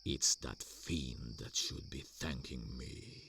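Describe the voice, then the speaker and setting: Stern vampire father, extremely low rumble, slow and menacing, theatrical roleplay, faint crickets behind. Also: pushed and bright, exaggerated voice. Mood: weary threat. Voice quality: gravelly, rumbling. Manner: deliberate, cold, theatrical. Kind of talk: ASMR roleplay villain acting. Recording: faint background noise with chirping crickets.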